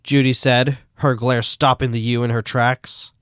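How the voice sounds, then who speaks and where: A man's voice: medium pitch, level tone, measured pace, clear, resonant tone, no room sound, clean studio sound. A man reading scripted story narration as the narrator. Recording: music behind the voice.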